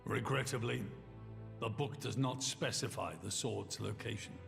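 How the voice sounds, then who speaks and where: A man playing a dignified old king in a video game, speaking in a grave, measured, halting way. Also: on the low side, creaky. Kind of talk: video game cutscene acting. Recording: long reverb, music behind.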